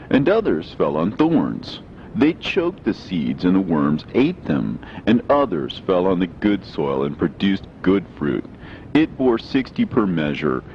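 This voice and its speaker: A man's voice: deep voice, muffled recording, a little hiss or hum. A man reading scripture as scripted audiobook narration, in a solemn, declamatory manner. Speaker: reader of religious scripture.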